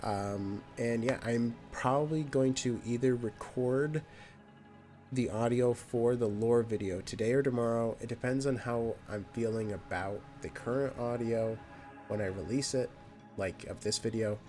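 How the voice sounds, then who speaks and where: A man's voice: mid-range voice, unhurried, a little mellow. A man with a nasal voice hosting a gaming channel, chatting casually and rambling with lots of fillers while he plays. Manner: rambling, with many fillers and restarts. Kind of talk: casual let's play commentary. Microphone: new microphone. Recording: game music behind the voice. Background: game music.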